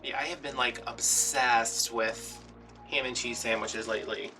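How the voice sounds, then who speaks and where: Chatty American man over loud music, slightly distant. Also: mid-range voice, steady tone, unhurried. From New Orleans area, USA. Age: late 30s. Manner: rambling, playfully dramatic storytelling. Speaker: craft vlogger. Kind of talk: casual chatty crafting commentary. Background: background music.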